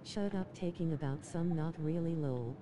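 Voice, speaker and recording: A woman's voice: low-pitched, moderate pace, warm tone. A synthetic woman's voice mechanically reading out chat messages as text-to-speech. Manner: mechanical, spells out letters.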